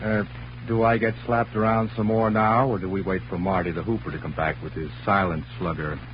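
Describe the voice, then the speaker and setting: A man's voice: lowish voice, level tone, fairly quick, lightly acted. An actor playing a cocky, unflappable tough guy in a 1940s hardboiled radio drama, slangy and sardonic, teasing with endearments. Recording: muffled old radio recording, faint hiss.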